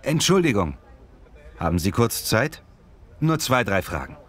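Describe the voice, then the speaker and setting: A man's voice: fairly low pitch, big pitch swings, measured pace, a bit bright. A man playing a courteous street reporter asking survey questions in a scripted language-lesson dialogue. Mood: polite, inquiring. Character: polite male street reporter doing a survey. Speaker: interviewer.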